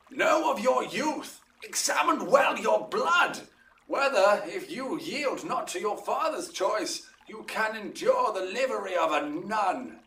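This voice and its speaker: An actor playing a lordly, measured duke in a Shakespearean audio drama, with stately, declamatory delivery. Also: male voice, sits high, fairly bright tone, heavily acted. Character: lordly, measured duke of Athens passing judgment. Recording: roomy echo, distant from mic.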